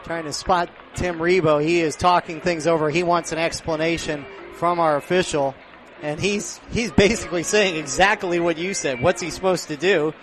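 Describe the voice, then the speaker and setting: A man's voice: a little high, moderate pace, hardly any pauses, a bit bright. A man doing live play-by-play commentary of a football game as a radio announcer, close on the mic at an outdoor stadium. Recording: clear outdoor stadium background noise.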